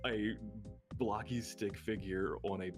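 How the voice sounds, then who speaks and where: A man's voice: fairly low pitch, fairly even intonation, a little slow. A young adult man chatting casually and agreeably as a guest on a livestream, in an informal way. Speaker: guest streamer and ASMR creator. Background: guitar music.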